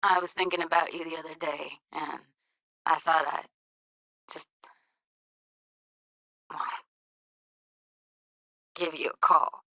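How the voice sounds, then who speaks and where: A woman's voice: sits low, steady tone, takes it slowly, creaky, long pauses, bright, forceful tone, dry recording, heavily acted, poor audio. An actress playing a tough, candid young woman technician in a video game, talking in a casual, slangy, blunt way. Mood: wistful, subdued. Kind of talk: naturalistic game voice acting.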